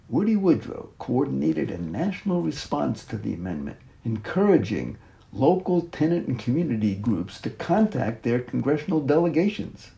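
An older man reading a written first-person memoir aloud in a measured, deliberate way, as scripted narration. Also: medium pitch, gravelly, mellow. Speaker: author and activist reading his own reflective essay.

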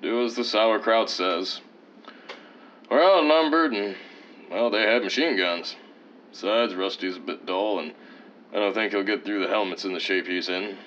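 An actor playing a folksy, cocksure, bloodthirsty war hero with hammy bravado in an old-time radio adventure parody. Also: male voice, lowish voice, normal speed, a bit bright, muffled recording, slight background noise, close to an everyday voice. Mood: grudging, wary.

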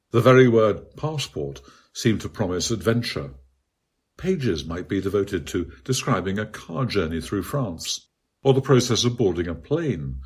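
Low, husky-voiced man reading an essay. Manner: measured, articulate, bookish. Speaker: author reading own article. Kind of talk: scripted literary essay narration.

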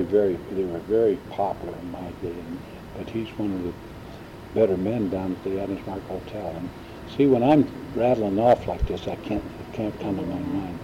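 A man in his seventies reminiscing in a casual oral-history interview, rambling and often stalling as he searches for names. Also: on the low side. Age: 70s. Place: indoors in a large banquet room. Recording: lo-fi tape with loud hiss.

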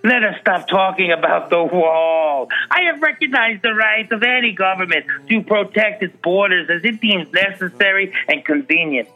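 A man voicing a polite but firm Mexican president in a comedic reading, speaking formally and deliberately. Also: high-pitched, muffled recording, soft background music. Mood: courteous, firm. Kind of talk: comedic dramatic reading over music.

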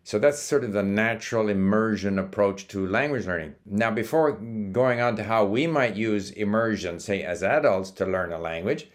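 An older man, born in Sweden and raised in Canada, hosts a language-learning video and explains things calmly, with small hesitations and restarts. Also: fairly low pitch, normal speed, hardly any pauses. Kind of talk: casual talking-to-camera monologue.

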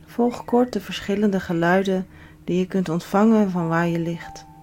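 A woman leading a guided relaxation as a yoga instructor, giving soothing, measured instructions with pauses. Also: on the low side, a little mellow, a little hiss or hum. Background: background music.

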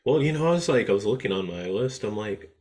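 A man co-hosting a podcast in casual chat, laid-back and halting, often pausing on "um". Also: fairly low pitch, expressive, a little mellow. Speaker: podcast co-host.

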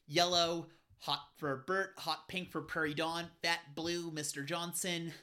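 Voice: medium pitch, little pitch movement, a little slow, bright, forceful tone. Eager co-host giving short answers. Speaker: podcast co-host. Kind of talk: casual podcast chat.

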